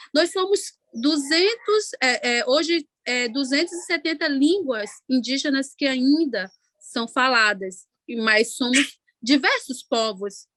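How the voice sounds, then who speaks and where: Ticuna-woman singer, unhurried panel talk. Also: high-pitched, pushed and bright, dry recording. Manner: unhurried, many "né" tags and restarts. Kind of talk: panel talk, personal account.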